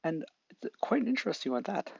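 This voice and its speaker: A man voicing a calm adult male doctor who explains things matter-of-factly. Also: high-pitched, fast talker, gravelly, breathy edge, dry recording, lo-fi sound, voice pushed up, heavily acted. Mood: explaining.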